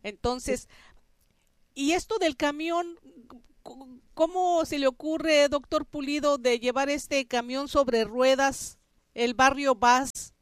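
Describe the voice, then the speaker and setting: A woman's voice: high-pitched, steady tone, slow, no room sound. A woman with a Mexican accent hosting a radio programme in a chatty, colloquial, conversational way. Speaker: radio host.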